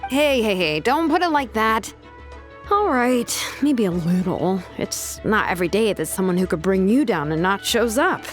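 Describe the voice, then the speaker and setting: A woman voicing a lively, cheeky young woman who banters and protests playfully with her old companion. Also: medium pitch, moderate pace, lightly acted. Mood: teasing. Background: game music.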